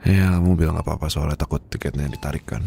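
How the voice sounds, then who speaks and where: Very deep, gravelly, weary Indonesian boss, acted by a man. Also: steady tone, mellow, a little hiss or hum. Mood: subdued, confiding. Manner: hesitant, murmuring, halting, sighing. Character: weary, heartbroken male boss confiding from sickbed. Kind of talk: ASMR roleplay.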